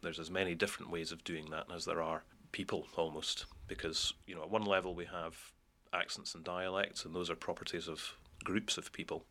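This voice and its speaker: A man from Scotland explains like a lecturer in an interview. His voice is deep and very even in pitch, with a bright, firm edge. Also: fairly quick, few pauses. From Edinburgh, Scotland. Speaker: university lecturer in speech science.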